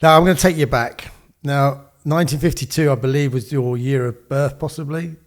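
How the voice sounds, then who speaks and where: A man's voice: mid-range voice, a little fast. A man hosting a podcast interview, friendly and prompting, often trailing off into fillers and restarts. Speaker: podcast host. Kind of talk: interview conversation.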